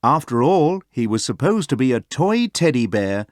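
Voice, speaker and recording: A man reading a children's story aloud as narrator, expressive and clearly phrased. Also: medium pitch, normal speed, no echo, studio quality. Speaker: storybook reader.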